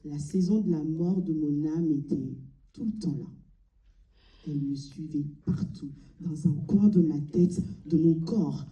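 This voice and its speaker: A woman from Mali delivering a solemn, deliberate theatrical monologue, pausing between short phrases. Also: low-pitched, mellow. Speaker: amateur stage performer. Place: large cinema auditorium before an audience. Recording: echoey hall, distant mic.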